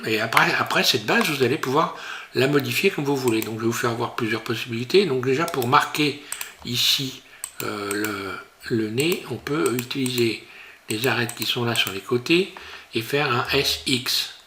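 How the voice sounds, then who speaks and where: A man teaching a software tutorial while he works on screen, talking in a hesitant, casual way full of fillers and restarts. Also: medium pitch, moderate pace, slight background noise. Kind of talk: screen-recorded tutorial narration.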